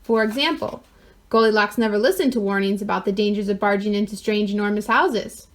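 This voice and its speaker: A woman's voice: medium pitch, a little fast. A woman storyteller reading a children's picture book aloud expressively, with wry, mock-innocent asides.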